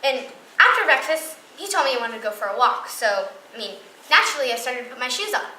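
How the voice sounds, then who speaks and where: A woman's voice: on the high side, expressive, fast talker, pushed and bright. A woman playing a hurt but devoted girlfriend confiding earnestly in a friend, in a stage monologue. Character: hurt woman earnestly excusing her genius filmmaker boyfriend. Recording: echoing room, distant mic, clear noise.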